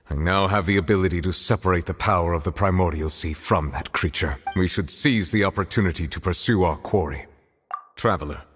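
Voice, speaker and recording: An actor playing a solemn, commanding judge with ancient dragon power in a video game, speaking in formal, stately pronouncements. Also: male voice, sits low, breathy edge. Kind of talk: scripted video game voice acting.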